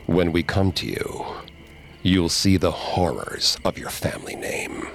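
A man voicing a crowd of vengeful murdered spirits speaking through a medium, in a groaning, ominous, slow voice. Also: low voice, big pitch swings, vocal fry, slight background noise, pitched below the performer's own voice, full character voice. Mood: menacing.

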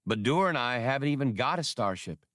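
A man's voice: mid-range voice, animated, brisk, fairly bright tone, dry recording, studio quality, voice pushed up, natural voice. A man voicing a plain-spoken female adventurer with a direct, casual manner in an audiobook. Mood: concerned.

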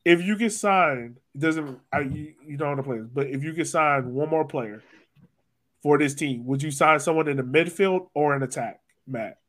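A man's voice: on the high side, moderate pace, fairly bright tone. A man hosting a soccer podcast, chatting casually about the sport.

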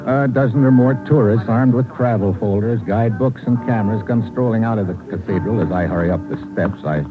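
A low-pitched, tough American secret agent acted by a man in an old radio thriller. He talks in clipped slang, wry and world-weary. The broadcast is muffled, with music clearly behind him. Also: a little fast, close to an everyday voice. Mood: wry, hard-boiled. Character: tough, wry, two-fisted male government agent. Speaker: lead actor and narrator. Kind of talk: 1950s radio drama acting, hard-boiled narration.

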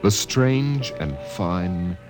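A low-voiced man narrates a radio drama over prominent music. His delivery is solemn and theatrical, with wide swings in pitch. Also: expressive, extremely slow.

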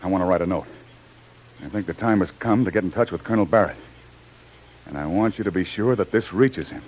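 A man's voice: sits low, brisk, darkish tone, close to an everyday voice. A man playing a brave, protective jungle adventurer who takes charge and reassures, in old-time radio serial style. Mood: concerned, reassuring. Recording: noisy old radio drama recording.

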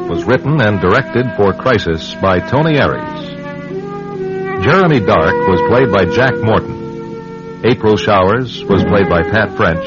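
A man announcing scripted radio drama credits as the producer. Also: on the low side. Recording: dull recording under loud music.

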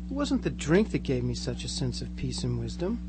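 A man's voice: medium pitch, big pitch swings, fairly quick, background noise, lightly acted. A man playing an eerily reassuring, converted small-town teenage boy in a radio drama, earnest and gushing. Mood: serene, reassuring. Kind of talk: live amateur radio drama acting.

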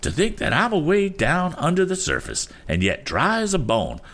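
A man voicing an eccentric, excitable gentleman full of awe, exclaiming quaint oaths in a storybook reading. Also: on the high side, normal speed, pitched above the performer's own voice, heavily acted. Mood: amazed delight. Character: eccentric, excitable, awestruck middle-aged gentleman passenger.

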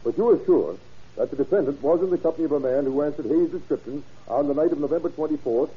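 An actor playing a formal, methodical prosecutor questioning witnesses in an old-time radio courtroom drama. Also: male voice, a little high, quick pace, husky. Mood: formal, probing. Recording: dull vintage radio recording.

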